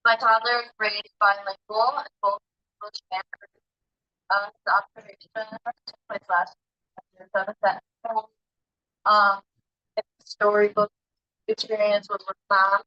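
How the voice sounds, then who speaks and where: Woman, student presenter, relaxed with fillers, dry muffled line. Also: mid-range voice, normal speed, pauses often, dry recording. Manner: casual, with frequent ums and uhs. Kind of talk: class presentation. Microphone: video call.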